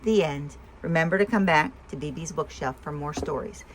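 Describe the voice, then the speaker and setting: A woman reading a picture book aloud for young children with expression, pausing to ask the listeners simple questions. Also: deep voice, a little hiss or hum. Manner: asks listeners simple questions between story lines. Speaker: storyteller and host of a read-aloud show.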